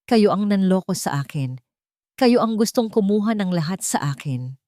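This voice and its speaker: A woman's voice: medium pitch, expressive, normal speed, dark tone, dry recording, studio quality, lightly acted. A woman voicing an elderly betrayed mother, wounded and tearful but defiant, in an audio drama.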